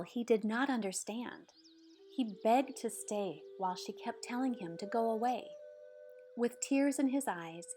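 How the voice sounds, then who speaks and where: A woman narrates a picture book with expressive, measured delivery. Her voice sits at a middle pitch for a woman, with soft music playing behind her. The reading is scripted and shaped as dramatic storytelling.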